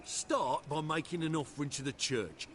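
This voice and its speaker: An actor playing a bullying, bigoted city guard in a fantasy game, with rough, sneering colloquial speech. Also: male voice, a little high, normal speed, fairly bright tone, a little hiss or hum, close to an everyday voice.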